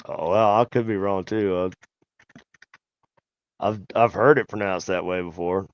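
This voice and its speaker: A man with a Southern US accent chats on a podcast, his lowish voice smooth and resonant. He talks animatedly, tripping into restarts and chuckles. The sound is dry and a little dull, close on the mic. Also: steady tone, measured pace, no room sound. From American South. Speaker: podcast co-host. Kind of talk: casual chat.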